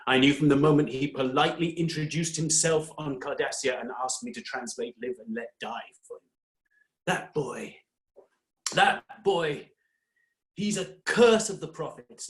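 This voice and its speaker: A middle-aged man playing a weary, exasperated doctor in a dramatic reading, with halting, stammering delivery. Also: mid-range voice, fairly bright tone, close to an everyday voice, rough recording. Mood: exasperated, despairing. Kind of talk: dramatic fan fiction reading.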